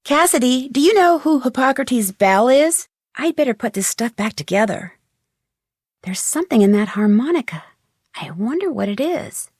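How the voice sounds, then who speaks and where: A woman's voice: sits high, sing-song, normal speed, a little mellow, husky, no room sound, clean studio sound, exaggerated voice. A woman playing a curious, plucky young amateur detective in a video game, articulate and inquisitive as she thinks things through. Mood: curious, musing. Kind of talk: scripted video game voice acting.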